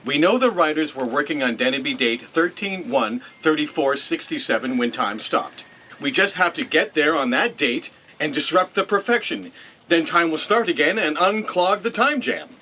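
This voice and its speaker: A man's voice: a little high, expressive, normal speed, pushed and bright, a little hiss or hum. A man playing an earnest, determined space adventurer in an audio drama, reasoning aloud emphatically.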